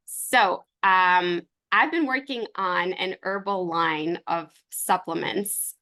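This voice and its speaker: Lower-pitched woman presenter from Ukraine. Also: pushed and bright. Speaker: presenter pitching her herbal supplement line. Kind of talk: informal online business presentation. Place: online video call with screen share.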